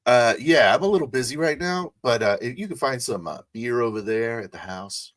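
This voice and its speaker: A man's voice: medium pitch, expressive, moderate pace, voice pushed up, lightly acted. A man playing an aloof, offhand biker with a casual, hesitant manner in a tabletop roleplay.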